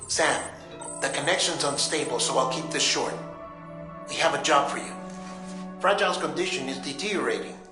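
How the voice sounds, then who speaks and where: A man's voice: fairly high pitch, normal speed, long pauses, music in the background, natural voice. An actor playing an earnest male ally who reasons aloud and gives urgent instructions in a game cutscene. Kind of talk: video game cutscene acting.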